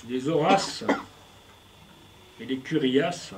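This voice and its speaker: A man's voice: medium pitch, drawn-out words, slight background noise. A man performing punning, rhythmic spoken-word poetry on stage, recorded live. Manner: playful punning, declamatory and rhythmic. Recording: distant live recording with music.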